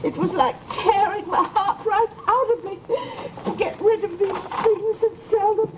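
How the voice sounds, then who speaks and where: High-pitched, plaintive radio landlady. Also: unhurried. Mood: mournful, sentimental. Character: fussy, sentimental landlady, mournful and easily flustered. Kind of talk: 1940s radio drama acting. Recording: hissy old radio recording.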